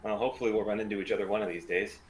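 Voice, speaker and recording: A man reading a gruff, cynical smuggler captain in a sci-fi table read, with faint room noise behind him. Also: lowish voice, steady tone, rapid-fire, lightly acted, rough recording. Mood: wry.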